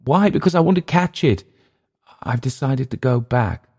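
A man voicing a bitter, dissolute Englishman with an English accent, sneering and halting by turns. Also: mid-range voice, expressive, darkish tone, breathy edge, pitched above the performer's own voice. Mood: uneasy.

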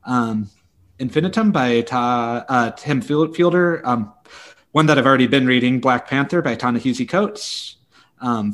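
A man speaks as a podcast co-host at a middling pitch. He talks through book news casually and thoughtfully, pausing and correcting himself as he goes. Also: fairly bright tone.

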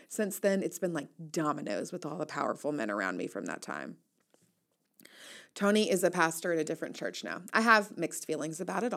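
Lower-pitched woman reading a personal essay. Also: gravelly. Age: 30s. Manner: candid, reflective, with wry asides. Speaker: newsletter writer and host reading an audio essay. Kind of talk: first-person essay read aloud, personal narration.

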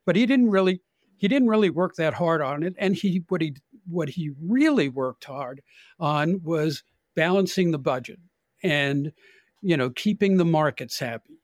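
An older man with an American accent giving opinionated, deliberate political commentary in conversation as a podcast guest. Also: a little high, expressive, dry recording, clean studio sound.

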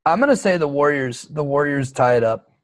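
A man's voice: fairly high pitch, lively intonation, a little fast. A man co-hosting a podcast, chatting casually and profanely about basketball, rambling with lots of fillers. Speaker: podcast co-host. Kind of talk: casual sports chat.

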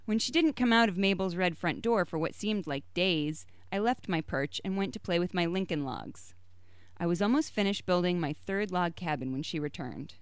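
A woman reads a short story aloud in a fairly low, smooth voice. She is recorded close and dry, with no room sound around her, and she lifts her voice a little higher and brighter when a character speaks. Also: normal speed, no room sound. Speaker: story reader.

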